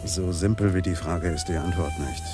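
An actor playing a sceptical, dry-witted police detective, matter-of-fact, in a radio crime play. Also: male voice, deep voice, normal speed, over music, natural voice. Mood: reporting.

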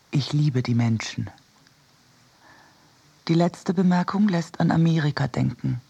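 Male essay reader for radio: mid-pitched, rough and breathy, deliberate delivery. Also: a little mellow. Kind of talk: scripted literary essay reading.

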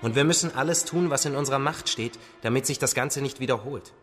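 An actor playing a patient, solemn father-like survivor who tells stories gently and with measured phrasing in a radio drama. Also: mid-range voice, soft background music, natural voice. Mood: solemn, urging. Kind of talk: radio drama acting, storytelling.

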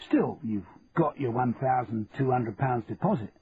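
A man's voice: mid-range voice, lively intonation, normal speed, lightly acted. A man with a Cockney accent voicing a shrewd, confident shopkeeper who bargains in a dry, teasing manner. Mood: wry. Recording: tinny phone-like sound with faint hiss.

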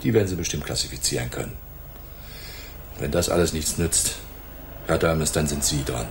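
An actor playing a brusque, impatient police detective in a crime radio play, gruff and bossy. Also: male voice, a bass voice, moderate pace, noisy background. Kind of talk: hardboiled crime radio drama.

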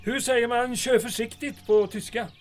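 An actor playing a genial mayor in an audio drama. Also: male voice, very high-pitched, fairly even intonation, soft background music. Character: genial small-town mayor.

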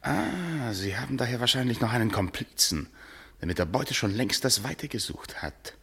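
An actor playing a self-assured, coolly superior upper-class gentleman in a German radio play, speaking formally. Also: mid-range voice, expressive, moderate pace, vocal fry, slightly rough, full character voice. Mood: smug.

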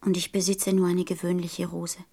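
A woman's voice: fairly low pitch, flat delivery, moderate pace, vocal fry, mellow, husky. A woman playing a lonely, curious little boy prince in a radio play, speaking with earnest, childlike directness. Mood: sad, disillusioned.

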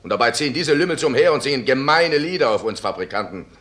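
Actor as blustering mill owner with animated delivery. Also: male voice, medium pitch, quick pace, close to an everyday voice. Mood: self-righteous, lecturing. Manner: blustering, commanding, rhetorical. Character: domineering, self-righteous mill owner, irritable and self-pitying. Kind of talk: German radio play acting.

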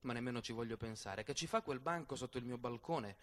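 A man's voice: medium pitch, brisk, voice pushed down, natural voice. A man playing a capricious, exacting young lady of the stage, with dramatic, declamatory delivery, in a radio theatre piece. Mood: musing, indignant. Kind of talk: theatrical verse monologue and dialogue. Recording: voice over prominent plucked guitar music.

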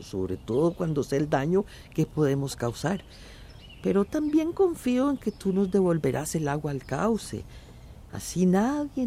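An old, tired countrywoman with a Central American accent, her voice creaky and mellow. She speaks with a lively rise and fall, caring and reflective, in a radio drama. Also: lowish voice, slight background noise. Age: older. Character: weary old countrywoman gathering fruit, caring and thoughtful.

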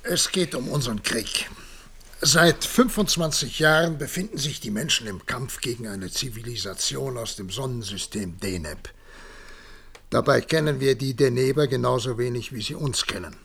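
An actor playing an authoritative president of a future world at war, speaking formally in a 1960s German radio drama. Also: male voice, mid-range voice, moderate pace, gravelly, fairly bright tone, breathy edge. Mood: grave, resolute. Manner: formal, commanding.

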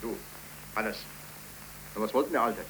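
A man's voice: on the low side, normal speed, a bit bright, close to an everyday voice. An actor playing a plain-spoken, curious working man in a 1930s German radio play. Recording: noisy 1931 radio recording.

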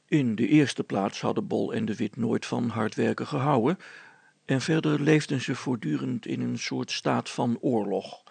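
A man narrates a scripted story in a gravelly, husky voice of medium pitch. The sound is close and very dry. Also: a little slow, a little mellow, no room sound.

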